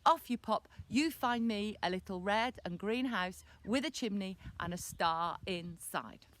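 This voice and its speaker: A woman playing a brisk, busy mother who firmly coaxes her young son in a children's story. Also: mid-range voice, expressive, fairly bright tone.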